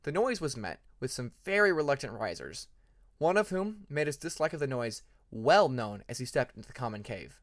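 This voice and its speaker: A man's voice: mid-range voice, sing-song, moderate pace. A man narrating fantasy fiction as an audiobook reader.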